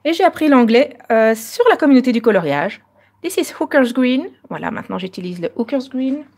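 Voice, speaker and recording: A Belgian woman hosting a live coloring stream, chatting casually and moving between French and slightly halting English. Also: a little high, animated, darkish tone.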